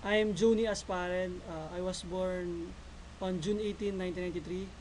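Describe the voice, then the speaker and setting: A man's voice: high-pitched, fairly even intonation, fairly quick, a little mellow, low-quality recording. A nineteen-year-old man from the Philippines, a student reading his part of a class presentation formally. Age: teens. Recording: noticeable background noise.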